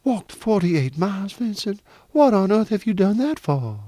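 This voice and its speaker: A man's voice: high voice, very animated, normal speed, a little mellow, dry recording, voice pushed up. A man voicing a genteel, anxious and proud middle-aged mother in an audiobook novel. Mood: alarmed.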